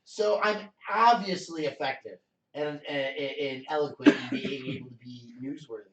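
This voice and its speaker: A man's voice: medium pitch, moderate pace, recorded from a distance. Brief: male co-host, agreeable and self-assured, away from the mic in an echoey room. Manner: affirming, keeps saying "right?". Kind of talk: casual chat about news clips.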